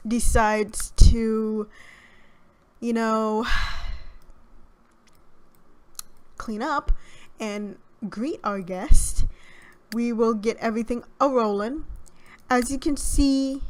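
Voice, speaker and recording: A young woman with a soft, shy voice, chatting through a game video in a hesitant, rambling way. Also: a little high. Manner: rambling, hesitant with many fillers. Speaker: gaming YouTuber. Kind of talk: casual let's play commentary.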